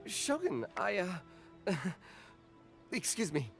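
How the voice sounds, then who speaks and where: Nervous anime game shopkeeper lady, stammery n slow, pitch jumps about, music behind. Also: wildly swooping pitch, vocal fry, heavily acted. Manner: hesitant, stammering. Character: nervous, deferential female snack stall vendor.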